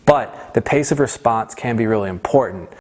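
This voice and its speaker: A man's voice: mid-range voice, moderate pace, a little mellow. A man giving an instructional talk as a sales trainer, conversational and emphatic, often checking in with the listener. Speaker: sales trainer presenting a course. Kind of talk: instructional presentation with role-played example lines.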